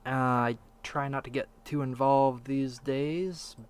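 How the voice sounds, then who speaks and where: A man's voice: mid-range voice, fairly even intonation, slow, smooth. A man co-hosting a casual, opinionated gaming discussion, rambling and a little hesitant with half-finished thoughts. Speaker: podcast co-host.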